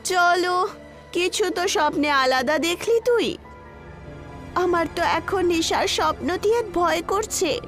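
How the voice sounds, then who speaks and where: Woman acting an anxious, very high-voiced college girl. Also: expressive, slow, a bit bright, faint music behind, full character voice. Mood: uneasy. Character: anxious frightened young college women. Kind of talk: horror cartoon dialogue.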